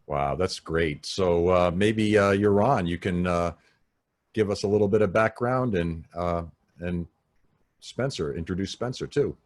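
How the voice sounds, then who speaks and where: A man's voice: sits low, unhurried. A man hosting a panel, inviting guests to speak in a hesitant, filler-heavy way. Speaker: host. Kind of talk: moderating a panel.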